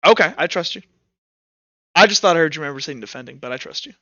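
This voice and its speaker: A man running a tabletop roleplaying game as game master, narrating casually and haltingly as he thinks aloud. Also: fairly high pitch, animated, sharp tone, no room sound, dull audio.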